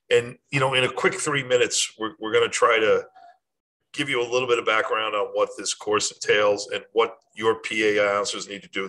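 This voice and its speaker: Older man, lowish pitch, bright forceful tone, plainspoken, informal instructional host, direct address. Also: low-quality recording. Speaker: host of a short athletic administration advice series. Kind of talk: informal talk to camera, instructional.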